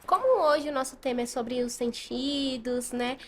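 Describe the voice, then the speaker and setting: A woman from Brazil hosting a podcast interview, asking her guest friendly, measured questions. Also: sits high, slight background noise. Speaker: podcast host.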